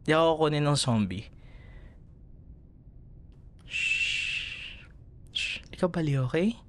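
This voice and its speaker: A man with a Filipino accent playing a gentle, protective young boyfriend in an intimate ASMR roleplay, soothing and murmuring tenderly. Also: fairly high pitch, expressive, slow, noisy background, voice pushed up. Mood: tender, sleepy comfort.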